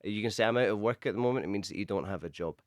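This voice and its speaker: A man with a cold and a blocked-up nose, hosting a radio show that teaches English and explaining phrases slowly and patiently. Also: on the low side, no echo, clean studio sound. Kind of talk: bilingual radio lesson chat.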